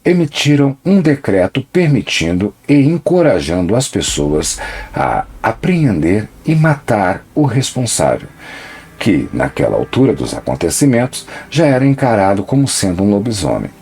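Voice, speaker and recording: A man narrating a scripted documentary in a measured, dramatic storytelling manner. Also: on the low side, wildly swooping pitch, darkish tone, husky.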